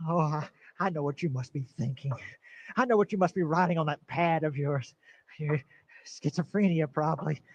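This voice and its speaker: An actor playing a nervous, paranoid middle-aged man who stammers and breaks into shrill outbursts, in an old-time radio drama style. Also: normal speed. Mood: bitter. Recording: dull lo-fi recording.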